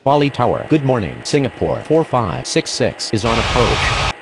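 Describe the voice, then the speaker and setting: Gravelly man acting as a courteous radio pilot. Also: medium pitch, very animated, moderate pace, very noisy. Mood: courteous, procedural. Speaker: pilot and air traffic controller radio voice. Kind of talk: scripted aviation radio phraseology.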